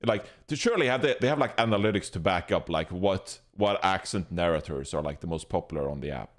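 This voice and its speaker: A man with a Swedish accent, co-hosting a casual podcast chat, animated and prone to stammering restarts. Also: sits low, fast talker. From Sweden. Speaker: podcast co-host.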